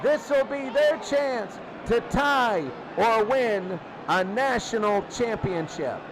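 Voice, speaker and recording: A man calling football play-by-play in radio commentary style, excitable and repeating phrases for emphasis. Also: very high-pitched, takes it slowly. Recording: loud crowd and noise behind.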